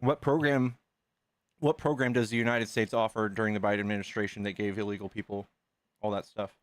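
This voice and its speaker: A man hosting a casual debate, asking rapid, pointed questions. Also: on the low side, level tone, dry recording. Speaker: debate host.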